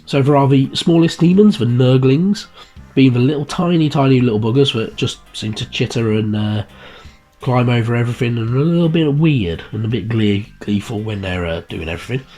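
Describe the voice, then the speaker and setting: A man hosting a miniature painting channel, croaky and bunged up with a heavy cold, chatting casually with lots of fillers and restarts. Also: mid-range voice, a little fast, darkish tone. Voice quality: husky. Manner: rambling, many fillers and restarts. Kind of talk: casual video chat about a magazine issue. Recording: background guitar music, cheap mic.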